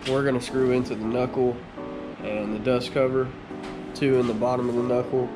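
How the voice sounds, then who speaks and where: A man's voice: medium pitch, a little fast. A young man with a stuffed-up nose, casually explaining a truck project in a home garage vlog with voiceover. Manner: relaxed, plain explanatory talk with fillers. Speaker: automotive vlogger. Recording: music playing behind.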